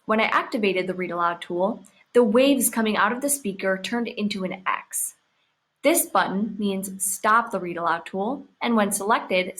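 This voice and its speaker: A woman's voice: medium pitch, fairly bright tone. Woman presenting a step-by-step tutorial. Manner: clear step-by-step explaining. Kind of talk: scripted instructional narration.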